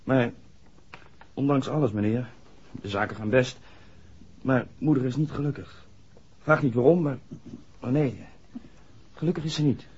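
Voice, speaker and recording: A man's voice: medium pitch, a little slow, pauses often, darkish tone, breathy edge. A man acting an earnest, hesitant young village garage worker in a 1960s radio play, speaking deferentially. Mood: uneasy. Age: young adult. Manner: hesitant, deferential to his questioner. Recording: dull 1960s radio drama recording.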